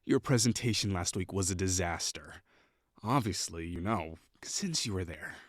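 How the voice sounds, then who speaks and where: A guy doing a snooty young classmate, low voice that swings up and down a lot, bossy and sarcastic, dry close sound. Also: animated, moderate pace, no echo. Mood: cold, condescending. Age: young adult. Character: prickly perfectionist young male classmate, condescending. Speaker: voice actor in an audio roleplay. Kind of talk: scripted roleplay acting.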